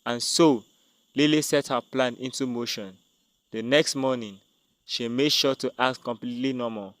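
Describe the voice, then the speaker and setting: A man's voice: medium pitch, steady tone, normal speed, fairly bright tone, no room sound. A man narrating a dramatic scripted tale as a storyteller.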